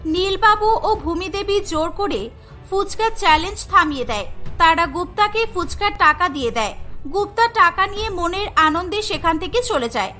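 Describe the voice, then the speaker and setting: Woman narrating a cartoon story, really high bright voice, faint music under it. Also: animated, normal speed.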